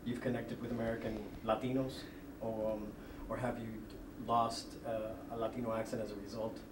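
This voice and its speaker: A man asking informal interview questions, halting and stumbling as he words them. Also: fairly low pitch, little pitch movement, noisy background. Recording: echoey room, distant mic, loud ambience.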